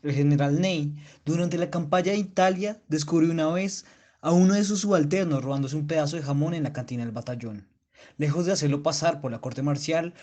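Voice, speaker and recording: Slightly high male voice, man acting stern school director, pompous, oratorical, long-winded, radio play. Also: darkish tone, natural voice. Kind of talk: literary radio drama monologue.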